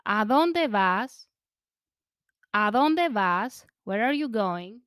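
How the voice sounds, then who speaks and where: A woman leads a language lesson, reading short phrases in a slightly high, smooth voice. She goes extremely slowly, saying each line in parts, then whole, then translated. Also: lively intonation, a bit bright, dry recording, studio quality. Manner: reads each phrase in pieces, repeats it, then gives the translation. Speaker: language teacher. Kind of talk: slow phrase-by-phrase language drill.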